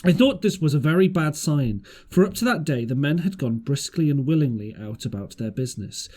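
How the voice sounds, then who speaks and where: A man's voice: fairly high pitch, expressive, a little fast, mellow. A man reading an adventure novel aloud as an amateur audiobook narrator, occasionally stumbling over words.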